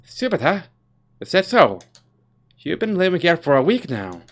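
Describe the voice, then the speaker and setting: A reader voicing a cold, menacing clan heir in a visual novel, speaking low, slow and condescending over faint music. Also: sing-song.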